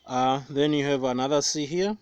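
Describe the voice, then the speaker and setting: A young Tanzanian man whose first language is Swahili, giving a slow, careful guitar lesson outdoors with many pauses and fillers. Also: mid-range voice, highs cut off. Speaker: guitar teacher. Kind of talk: guitar tutorial. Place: outdoors by banana plants. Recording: faint guitar playing behind voice.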